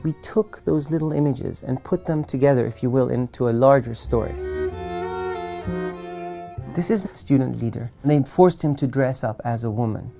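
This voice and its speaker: A man's voice: medium pitch, moderate pace, creaky, warm tone, soft background music. A man from Iran, an author speaking earnestly and emphatically in a documentary interview.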